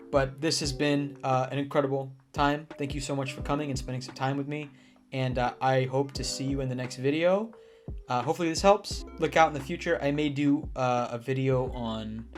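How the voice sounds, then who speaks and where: A man's voice: medium pitch, steady tone, brisk. A young man in casual talk to camera, giving earnest advice about teaching jobs in Japan, with restarts and fillers. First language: English. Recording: soft guitar music behind.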